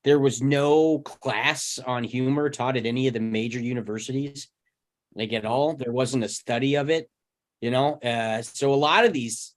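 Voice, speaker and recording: A man with an average-pitched voice talks in a casual group discussion. He is thoughtful and a little halting as he builds his point, often checking that others follow. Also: fairly even intonation. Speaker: panel participant.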